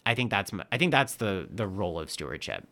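A man in his thirties, originally from Texas, answering interview questions about trail work. His voice sits very low but has a bright, forceful edge. He talks earnestly and often restarts his sentences. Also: sing-song, brisk. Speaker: nonprofit trail stewardship staffer.

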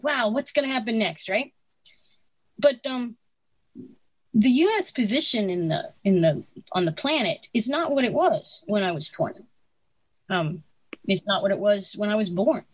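A woman in her sixties giving strong opinions in casual conversation, animated and laughing between points. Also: mid-range voice, moderate pace, dry recording. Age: 60s.

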